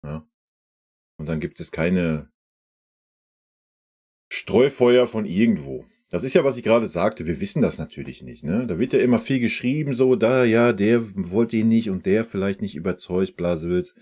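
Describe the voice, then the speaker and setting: Deep-voiced man chatting casually. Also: expressive, normal speed, darkish tone, breathy edge. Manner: chatty, uses fillers like "ja" and "ne". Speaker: fan channel host reacting to a press conference. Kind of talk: casual reaction commentary.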